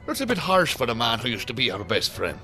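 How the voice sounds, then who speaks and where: A needling agent, wildly swooping pitch. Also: male voice, huge pitch swings, fast talker, sharp tone, soft background music, heavily acted. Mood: chiding. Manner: teasing, blunt. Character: needling, plain-spoken male federal agent colleague. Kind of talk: audio drama acting.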